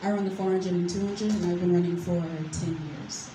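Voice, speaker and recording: American female runner, even and warm, steady delivery, echoey room. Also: on the low side, fairly quick, slight background noise. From United States. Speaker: athlete. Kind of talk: self-introduction.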